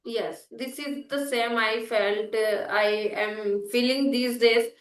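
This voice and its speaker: High-voiced Indian woman, echoey room. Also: fairly even intonation, slow pace, far from the mic. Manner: hesitant, many fillers and restarts. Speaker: host, English learner. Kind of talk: casual practice conversation.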